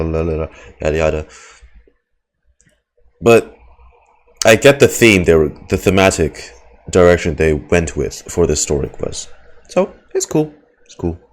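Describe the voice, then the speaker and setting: A man's voice: low-pitched, smooth. A man doing casual, rambling game reaction commentary as a streamer, with joking asides. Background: faint music.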